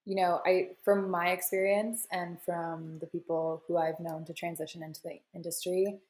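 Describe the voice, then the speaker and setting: A woman gives confident advice as an interview guest, leaning on "you know" fillers. Her voice is low and held in a flat, even tone. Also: normal speed, rough recording. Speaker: guest, crypto media founder. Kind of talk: casual interview answers.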